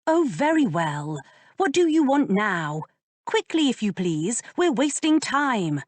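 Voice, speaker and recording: A woman voicing a quick-tempered, sharp-tongued young lady who speaks in a tart, exasperated way. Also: on the high side, sing-song, no echo, voice pushed up, heavily acted.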